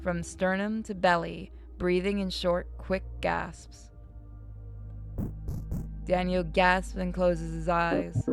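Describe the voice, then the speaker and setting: Lady streamer reading a story out loud, kinda fry in her voice, music behind. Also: lowish voice, flat delivery, slow pace, long pauses. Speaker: streamer reading a visual novel aloud to chat. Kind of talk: expressive story narration with casual asides.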